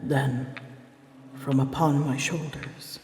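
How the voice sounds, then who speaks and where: A woman playing an ancient, proud, wounded female dragon in an ASMR roleplay, speaking in a breathy, often whispered voice with grave, halting pauses. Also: very deep voice, steady tone, a little mellow. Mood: pained, haunted. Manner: slow, halting, grave storytelling with dramatic pauses. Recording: echoey, long reverb, faint noise.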